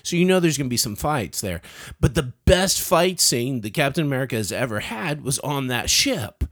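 A man's voice: medium pitch, very animated, moderate pace, a bit bright. A man giving a casual, opinionated film review as a podcaster, often stammering and repeating words as he starts.

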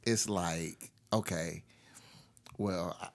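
Deep-voiced man in casual film talk. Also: fairly even intonation, extremely slow, vocal fry. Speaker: podcast panelist. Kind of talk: casual film review chat.

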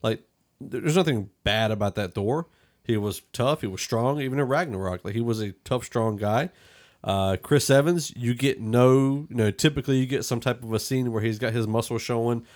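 A man's voice: medium pitch, moderate pace. A man hosting a podcast film discussion, speaking casually and giving opinions that he qualifies as he goes. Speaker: podcast host.

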